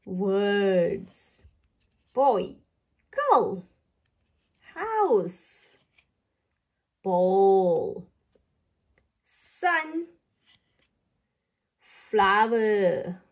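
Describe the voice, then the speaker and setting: A woman reading aloud for toddlers, saying words carefully in a sing-song, child-directed way. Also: medium pitch. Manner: slow, careful labelling of single words for small children. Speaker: children's book reader and channel host.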